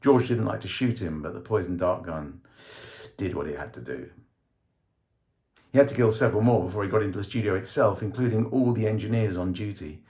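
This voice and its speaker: A man's voice: fairly low pitch, fairly quick, dark tone. A man reading a short story aloud as a dramatic scripted narration.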